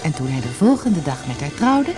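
A storyteller reading a scripted fairy tale in an expressive, dramatic manner. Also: medium pitch, moderate pace, over music.

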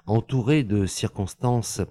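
Deep, dark-voiced male audiobook narrator. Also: a little slow, no echo, studio quality. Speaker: audiobook reader. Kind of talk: scripted narration.

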